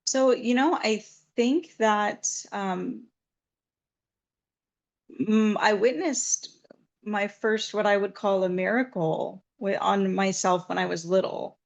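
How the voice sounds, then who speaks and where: A woman's voice: medium pitch, takes it slowly, vocal fry. A woman from Southern Nevada, a massage therapist, telling her story in an interview in an earnest, reflective way.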